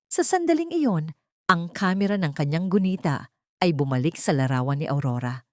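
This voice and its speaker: A woman narrates a dramatic audiobook. Her voice sits low for a woman, and she delivers scripted storytelling in a close, dry sound. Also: animated, normal speed, no echo.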